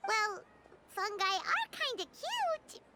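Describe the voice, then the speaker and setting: Squeaky, perky little fairy sidekick. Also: female voice, takes it slowly, very noisy, exaggerated voice. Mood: chirpy, curious. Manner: bubbly, childlike, expressive. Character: tiny, perky, chatty floating fairy companion. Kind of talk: video game dialogue acting.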